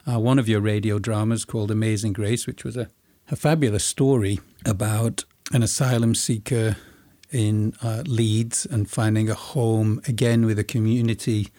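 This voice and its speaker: A man's voice: on the low side, fairly even intonation, moderate pace, mellow, no room sound. A man co-hosting a podcast, a composer recalling a project with modest, self-deprecating humour. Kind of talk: casual podcast conversation.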